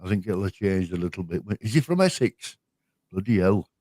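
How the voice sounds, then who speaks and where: A man's voice: low voice, sing-song, dark tone, dry recording, clean studio sound. An older man from West Yorkshire with a West Yorkshire accent, easygoing and chatting on a livestream with a friend. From West Yorkshire, near Leeds. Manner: easygoing, down-to-earth, quick to agree. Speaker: livestream co-host. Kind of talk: casual livestream chat.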